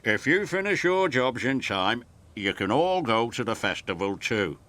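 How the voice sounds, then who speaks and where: A man voicing a stern railway boss in a low, booming, brisk voice. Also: sing-song, bright, forceful tone. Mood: commanding.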